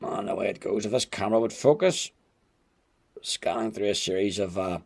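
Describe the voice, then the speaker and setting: A man's voice: on the low side, moderate pace, gravelly, darkish tone, husky. Chatty man reviewing gadgets. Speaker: amateur radio gadget reviewer. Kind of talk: casual hands-on product review. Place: indoors at home.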